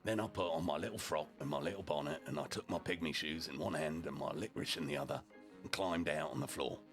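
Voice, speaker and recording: A man reading a humorous first-person story aloud as a storyteller, with deliberate phrasing and profane asides. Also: a bass voice, gravelly, fairly bright tone, soft background music. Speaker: storyteller, streamer narrating in character as a deputy.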